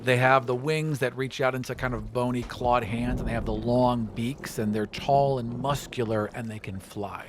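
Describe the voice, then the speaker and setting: A man running a tabletop role-playing game as game master, describing the scene in a relaxed way with casual asides. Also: medium pitch, moderate pace, few pauses, background noise.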